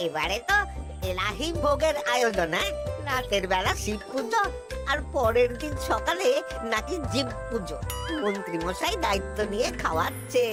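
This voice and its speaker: A man playing a simple, trusting village husband in a comedy cartoon, excitable and repeating his words. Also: very high-pitched, moderate pace. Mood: curious. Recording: music behind the voice.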